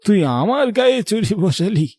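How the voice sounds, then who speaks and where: A man's voice: high-pitched, big pitch swings, a little slow, a little mellow, husky, dry recording, studio quality, exaggerated voice. A man playing an indignant old grandfather in a Bengali horror cartoon, scolding and reproachful. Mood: alarmed, indignant. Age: older. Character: indignant old grandfather, murdered, returns as vengeful ghost.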